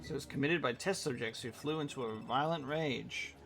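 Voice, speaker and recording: A man's voice: medium pitch, moderate pace, gravelly, music in the background. A man talking casually as a game streamer, in a rambling, informal way with some casual swearing. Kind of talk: casual live-stream chat and game reaction.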